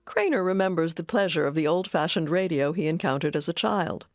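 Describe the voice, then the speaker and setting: Low-voiced woman narrating, dry studio sound. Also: animated, fairly quick, no echo, faint music behind. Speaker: documentary narrator. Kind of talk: scripted narration.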